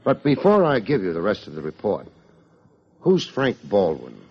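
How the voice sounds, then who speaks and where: An American actor playing a wry, hard-boiled private detective in an old radio drama, with dry, needling delivery. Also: male voice, lowish voice, wildly swooping pitch, normal speed, husky, heavily acted. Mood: sardonic. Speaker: private investigator and narrator. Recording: dull vintage radio recording.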